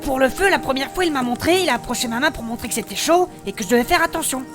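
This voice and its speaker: A woman playing a spirited female blacksmith adventurer, recounting her past in animated, colloquial in a fantasy audio drama. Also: high voice, fast, over music. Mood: fond, proud. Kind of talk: French fantasy audio fiction acting.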